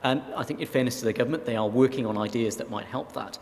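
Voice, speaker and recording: A man from South Wales, a council leader, giving measured, reasoned answers on a panel. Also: medium pitch. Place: conference hall. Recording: reverberant hall, faint background noise.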